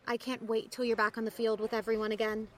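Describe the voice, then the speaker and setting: A woman acting in an audio drama as an excitable, concerned teenage girl athlete, chatty and earnest as she probes her friend. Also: a little high, fast talker, natural voice.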